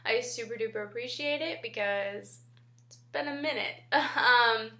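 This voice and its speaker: A woman's voice: on the high side, unhurried, bright, forceful tone, roomy sound. A young woman with a stuffy nose from a head cold, chatting casually and rambling to camera about makeup. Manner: chatty and rambling, many ums. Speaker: beauty vlogger.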